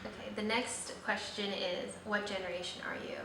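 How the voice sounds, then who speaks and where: A woman's voice: mid-range voice, flat delivery, normal speed. A young woman hosting her vlog, chatting casually and rambling with lots of fillers. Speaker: vlogger host. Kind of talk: casual chat. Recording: echoey room, slightly distant mic, faint noise.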